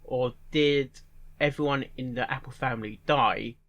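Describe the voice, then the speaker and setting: A man giving casual reaction commentary in a halting way, repeating phrases and musing aloud in questions. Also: mid-range voice, fairly even intonation, heavy background noise. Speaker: reaction video host.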